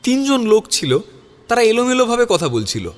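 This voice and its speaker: A man's voice: very high-pitched, very animated, fairly quick, long reverb tail. A man narrating a scripted audio story in the first person, dramatising it as he goes.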